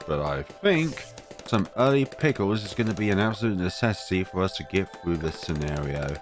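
A man with a cold narrating gameplay in a casual, wry way, talking to his game units as he plays. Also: sits low. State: has a cold, voice deeper than usual. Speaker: game guide creator.

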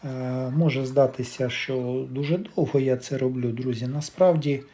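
A man giving a casual, step-by-step repair demonstration, thinking aloud in an unhurried, explanatory way. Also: medium pitch, fairly even intonation, mellow, a little hiss or hum. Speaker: electronics repair hobbyist narrating.

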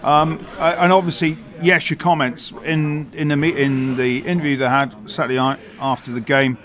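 A man hosting club TV puts post-match questions to a manager. His voice is a little higher than most men's, and he talks in a chatty, hesitant way with many fillers. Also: flat delivery, normal speed, fairly bright tone. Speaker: club TV host.

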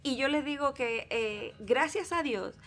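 A woman's voice: high voice, lively intonation, a little slow. A middle-aged woman hosting a talk show, speaking reflectively and bringing in faith. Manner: warm, reflective, speaks of God. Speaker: host. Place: TV studio set, seated on armchair.

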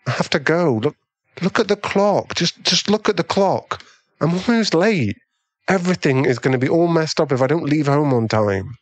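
A man playing a doting, easily swayed millionaire boyfriend in an ASMR roleplay, pleading and halting, with breathy sighs. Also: on the high side, lively intonation, no room sound. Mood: anxious, pleading.

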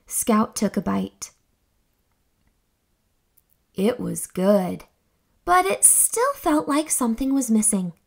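A young woman reading a children's story aloud in a theatrical, expressive storytelling manner. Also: a little high, slow, creaky, long pauses. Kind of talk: expressive scripted read-aloud narration for children.

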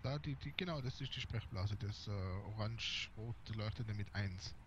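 A man's voice: lowish voice, normal speed. A man in casual, joking gaming chat over online voice chat. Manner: joking, teasing. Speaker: game streamer. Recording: muffled voice chat with clear background noise.

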